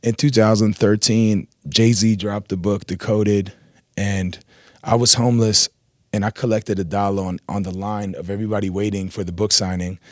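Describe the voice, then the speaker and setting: A man whose first language is Arabic, a rapper guest telling stories in a relaxed, rambling way with slang, on a studio mic in a radio studio. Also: lowish voice, moderate pace, dry recording. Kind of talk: casual interview chat.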